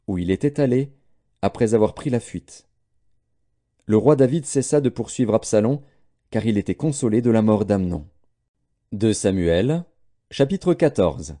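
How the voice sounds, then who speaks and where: A man reading scripture aloud as scripted audiobook narration, with a dark, mellow tone. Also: fairly low pitch. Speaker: audiobook Bible reader.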